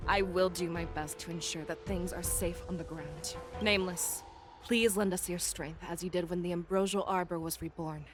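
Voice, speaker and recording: A woman's voice: fairly low pitch, natural voice. A woman playing a resolute, confident young female general in a video game, speaking formally and with authority. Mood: firm, commanding. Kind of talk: dramatic video game voice acting. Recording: game music behind the voice.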